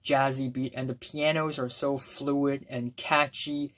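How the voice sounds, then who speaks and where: A young man with a voice gone raspy from talking, giving a casual, excitable album review full of fillers and bursts of enthusiasm. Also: medium pitch, measured pace. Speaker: music reviewer.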